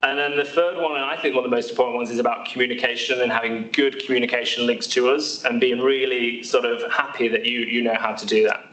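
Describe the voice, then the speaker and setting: A man's voice: medium pitch, bright, forceful tone. British man giving a talk in an echoey hall. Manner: friendly, many fillers and restarts. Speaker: haematology doctor. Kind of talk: seminar talk to patients. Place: large echoey hospital hall. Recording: roomy hall sound from far off.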